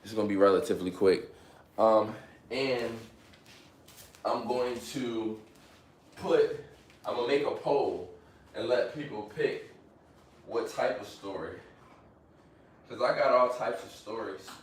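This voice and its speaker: Motivational low-voiced man in his twenties. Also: measured pace, pauses often, echoey room. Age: 20s. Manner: repeats phrases, motivational, fillers like "you know what I'm saying". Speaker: fitness live streamer. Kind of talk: casual live stream chat.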